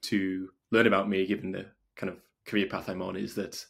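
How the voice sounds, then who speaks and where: A man's voice: low voice, level tone, a little fast, gravelly, slightly rough. A man from North Wales chatting informally as an interview guest, often dropping "like" into his sentences. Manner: informal, frequent "like" as filler. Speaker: podcast guest, video maker and documentary filmmaker. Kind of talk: casual interview chat.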